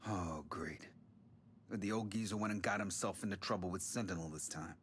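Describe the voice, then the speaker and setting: Man playing a casual, low-voiced local. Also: moderate pace, slight background noise, natural voice. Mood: offhand. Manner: casual, blunt. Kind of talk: video game cutscene acting.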